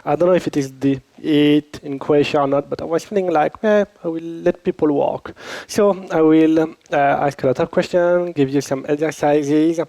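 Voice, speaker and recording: French-accented guy teaching a workshop, bit of a cold, mellow voice, dry close mic. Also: on the high side, fairly even intonation, moderate pace, few pauses, no echo, poor audio. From Switzerland. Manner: informal, chatty, addresses audience with questions. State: recovering from a cold. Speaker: workshop instructor. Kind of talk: conference workshop talk. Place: conference workshop room. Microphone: handheld or lapel microphone.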